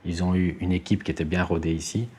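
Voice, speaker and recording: A man's voice: sits low, steady tone, quick pace, warm tone, slight background noise. A man giving explanatory commentary in an interview.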